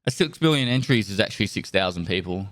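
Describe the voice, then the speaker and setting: Congested, relaxed male streamer. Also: lowish voice, quick pace. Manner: relaxed, rambling, swears casually. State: congested nose from a cold. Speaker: live streamer. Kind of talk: casual live-stream chat, thanking subscribers.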